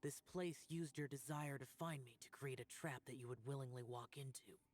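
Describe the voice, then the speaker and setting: A man's voice: mid-range voice, fairly even intonation, moderate pace, close to an everyday voice. A man voicing a solemn, aloof young immortal demon-slayer in a fantasy game, speaking in a grave, guarded, restrained way. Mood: grave, resolute. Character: solemn, aloof young male immortal demon-slaying warrior burdened by karma. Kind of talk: scripted fantasy game acting. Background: background music.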